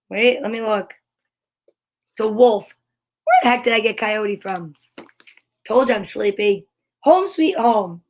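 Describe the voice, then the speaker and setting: Slow-paced, high-voiced woman reading picture books. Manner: expressive, gushing exclamations and gasps; chatty, self-interrupting asides to viewers. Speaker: host of a children's story time. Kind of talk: reading picture books aloud with casual asides.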